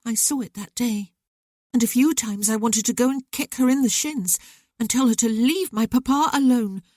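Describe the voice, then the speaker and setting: A woman's voice: a little high, normal speed, dry recording, clean studio sound, voice pushed up, lightly acted. A woman voicing an outspoken, sensitive young woman who talks frankly to her brother. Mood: earnest, wistful. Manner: lively, talkative, candid.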